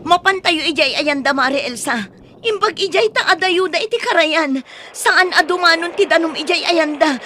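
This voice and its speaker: A woman voicing a fretful, pleading mother in an audio drama, her voice sitting high and bright. She urges her family to flee the storm. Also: moderate pace, a little hiss or hum. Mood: worried. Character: anxious mother of a poor family in a typhoon. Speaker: voice actor. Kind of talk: radio drama acting.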